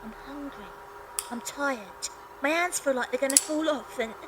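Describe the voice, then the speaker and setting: A woman's voice: sits high, normal speed, noisy background, close to an everyday voice. A woman playing a tired, outspoken young match factory girl in an audio drama. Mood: weary, upset.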